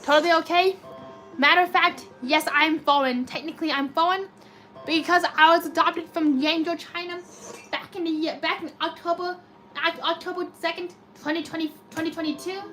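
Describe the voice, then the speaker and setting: High, robotic-sounding woman streamer. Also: moderate pace, faint music behind, poor audio. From United States. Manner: chatty, excitable cheering at spins. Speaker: slot machine live streamer. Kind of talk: live stream commentary reading chat.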